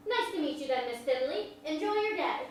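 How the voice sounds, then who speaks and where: A woman's voice: high-pitched, lively intonation, brisk. A woman playing a friendly, polite country girl of about twelve in a staged play reading, with folksy, courteous speech. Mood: cheerful, polite. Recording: very echoey hall, distant mic, faint noise.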